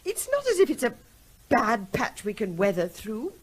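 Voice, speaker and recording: A woman's voice: a little high, huge pitch swings, normal speed, slightly rough, heavily acted. An actress playing an anxious middle-aged wife who pleads emotionally with her husband in a radio drama. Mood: distressed. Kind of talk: 1970s radio drama acting.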